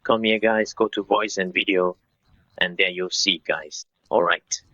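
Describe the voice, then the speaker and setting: A man in his thirties narrating a step-by-step software tutorial in an instructional way, speaking directly to viewers. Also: low voice, steady tone, unhurried, no room sound, lo-fi sound. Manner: instructional, addresses viewers as guys.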